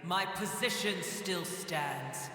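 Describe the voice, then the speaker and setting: An actor playing an ancient, proud and bitter dragon in a video game, booming, grandiose and scornful. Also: male voice, takes it slowly, sharp tone, exaggerated voice. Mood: cold scorn. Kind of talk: video game character acting. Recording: cavernous echo with long reverb. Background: game music.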